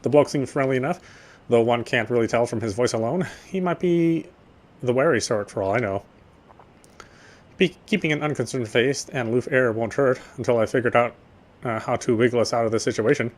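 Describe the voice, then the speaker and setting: An actor playing a guarded young policeman who narrates his thoughts in a measured, wry voice for a voiced visual novel. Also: mid-range voice, lightly acted. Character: guarded young male cop, dry inner monologue. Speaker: police officer. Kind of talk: first-person game narration.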